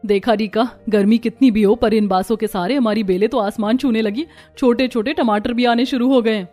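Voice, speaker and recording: A woman's voice: a little high, fairly even intonation, a little fast, dark tone, faint music behind, lightly acted. A woman playing a hardworking, earnest young village daughter-in-law in a cartoon.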